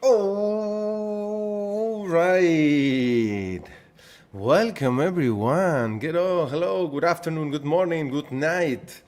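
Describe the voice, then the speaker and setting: A man's voice: fairly high pitch, extremely slow, a little mellow. A man with a Spanish accent, a native Spanish speaker, hosting a live trading webinar in casual chat, greeting viewers by name and often repeating himself. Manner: chatty, greets viewers by name, repeats phrases, restarts sentences.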